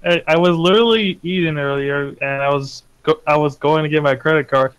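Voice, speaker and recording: A man's voice: on the high side, fairly even intonation, a little fast, fairly bright tone, clean tone, dry recording, rough recording. A man chatting casually in a bewildered, stammering way in a voice chat. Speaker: latecomer to a voice chat.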